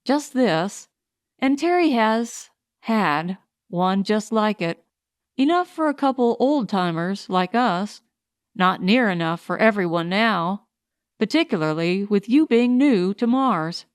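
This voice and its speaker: A woman's voice: medium pitch, expressive, takes it slowly, smooth, no room sound, clean studio sound. A woman voicing a shrewd, defiant female Mars prospector in an audiobook, with a composed, wry manner. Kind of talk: audiobook character voice.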